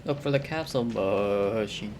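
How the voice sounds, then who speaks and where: A man's voice: lowish voice, normal speed, noisy background. A young man giving casual, slangy let's-play commentary, grumbling offhandedly as he plays. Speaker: gaming streamer. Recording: game music under the voice. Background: loud game music.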